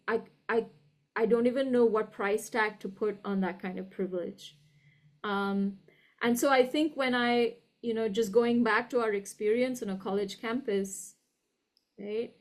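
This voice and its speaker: High-voiced woman with a posh Indian accent. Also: darkish tone. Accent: educated upper-class Indian English. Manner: articulate, deliberate, emphatic, often checks with "right?". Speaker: guest, university professor. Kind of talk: reflective conversational interview.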